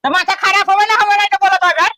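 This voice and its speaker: A man's voice: extremely high pitch, flat delivery, racing, bright, forceful tone, full character voice. A man playing a cranky, flustered very old village man in a comic skit, with a rural Birbhum Bengali accent and a grumbling, complaining manner. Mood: worried, confiding. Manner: grumbling, cursing, breathless complaining.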